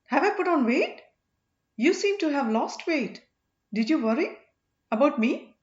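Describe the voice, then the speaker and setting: A woman's voice: high-pitched, lively intonation, unhurried, pitched above the performer's own voice. A woman voicing a loyal, teasing boatman husband in an audiobook, playful and earnest. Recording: echoey room, dull lo-fi sound.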